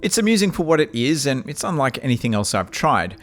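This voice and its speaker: A man's voice: mid-range voice, sing-song. Nasal man reviewing gear. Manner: measured, wry and matter-of-fact. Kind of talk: scripted product review narration.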